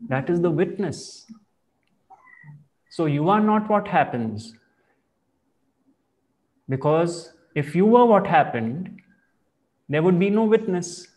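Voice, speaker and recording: A man speaking as a spiritual teacher, explaining ideas in slow, measured and reflective phrasing. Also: high-pitched, lively intonation, a little mellow. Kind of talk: calm explanatory spiritual talk.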